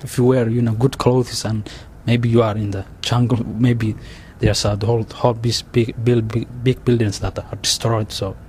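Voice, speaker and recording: A young man with a Somali accent, telling his story in an interview, halting and full of restarts and fillers. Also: mid-range voice, level tone, darkish tone. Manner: halting, many restarts and "you know" fillers. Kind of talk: oral history interview. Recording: faint background noise.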